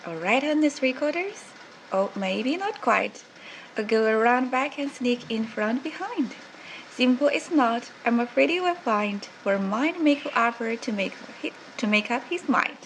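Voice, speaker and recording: A woman's voice: on the high side, animated, measured pace, rough recording. A woman teacher reading a children's rhyming book aloud with faint noise in the background.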